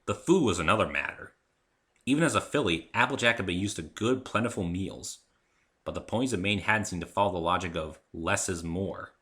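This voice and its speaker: Deep, forceful-voiced man narrating a story. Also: brisk. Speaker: author reading own story. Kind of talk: dramatic story reading, first-person narration.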